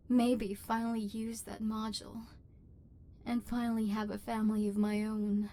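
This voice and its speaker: High-voiced lonely robot overlord played by a woman. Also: mellow. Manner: formal, measured machine-like phrasing. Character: lonely, wounded female robot overlord, guarded but yearning. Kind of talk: ASMR roleplay voice acting.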